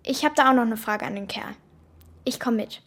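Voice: mid-range voice, brisk, fairly bright tone. A performer playing a bold, resourceful young girl in a children's radio play, blunt and self-assured. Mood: scheming, matter-of-fact.

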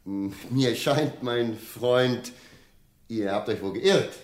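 A man's voice: medium pitch, slow, close to an everyday voice. An actor playing a male stage clown, drawling, hesitant and puzzled, on an echoing stage in a radio drama. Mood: droll, puzzled.

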